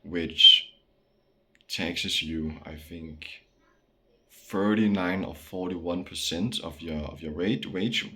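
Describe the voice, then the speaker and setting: Young man, Danish accent, deep voice, casual vlog rant, slangy, restarts. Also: bright, forceful tone. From Denmark. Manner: slangy, frequent fillers and restarts. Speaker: vlogger.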